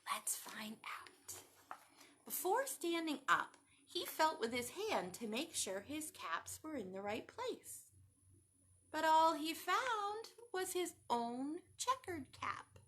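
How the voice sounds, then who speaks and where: A high-voiced woman reads a picture book to young children. She takes it slowly, with big swoops in pitch, and often turns to the listeners with questions. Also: a little hiss or hum. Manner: expressive, asks the listeners questions. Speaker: children's storytime reader.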